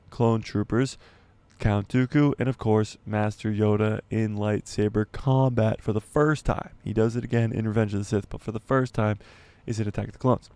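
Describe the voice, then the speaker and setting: A man hosting a casual livestream, his voice hoarse and fading. He reads an article aloud in a dark, mellow tone, tripping over words and correcting himself. The sound is dry and close, with no room around it. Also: fairly low pitch, no room sound. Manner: rambling, stumbles and self-corrects, laughs at himself. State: losing his voice, clearing throat. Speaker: YouTube livestream host.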